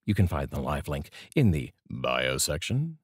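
Very deep, husky middle-aged man. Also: very animated, a little slow, no room sound, clean studio sound. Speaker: poetry reader, voice artist. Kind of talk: poetry reading with casual introduction. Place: small dark indoor studio. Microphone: large studio microphone, close.

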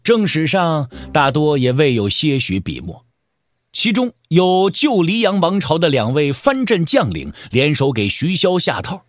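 Highly animated, higher-pitched male narrator. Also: unhurried, dry recording. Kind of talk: dramatic scripted audiobook narration.

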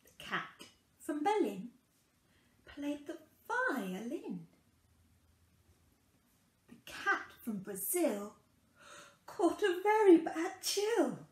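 A young woman reading a children's picture book aloud in a deliberate, sing-song way with dramatic pauses, recorded in a small room at home. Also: high-pitched, very animated, echoey room. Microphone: camera a couple of metres away.

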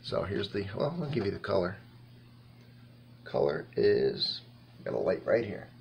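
A man in his fifties giving a casual, halting tasting review to camera, rambling and thinking aloud in a small indoor room. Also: deep voice, very animated, vocal fry, slight background noise. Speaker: wine reviewer and host.

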